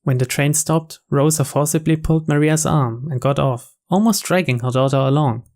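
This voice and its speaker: A man's voice: fairly high pitch, moderate pace, a little mellow. A man reading scripted story narration aloud from a visual novel as a let's player.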